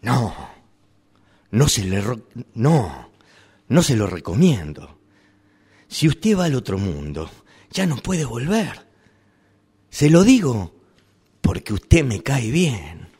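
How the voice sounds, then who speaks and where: A dry, matter-of-fact shopkeeper, played by a man. He lets his pitch rise and fall freely but takes his time. It is delivered as a calm, patient sales pitch. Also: slow, pauses often, breathy edge, heavily acted. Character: weary male shopkeeper selling worlds. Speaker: workshop radio drama actor. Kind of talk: amateur radio drama rehearsal.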